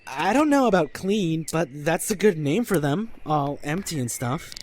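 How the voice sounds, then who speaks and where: A woman playing a gentle, apologetic young male survivor in a zombie apocalypse audio drama, hesitant and solicitous. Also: low-pitched, lively intonation, normal speed. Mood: earnest. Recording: slightly noisy amateur recording with ambience.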